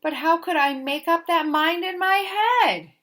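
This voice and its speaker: A woman reading a children's picture book aloud like a teacher, with expressive, deliberate, sing-song emphasis. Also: sits high. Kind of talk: animated children's storybook read-aloud.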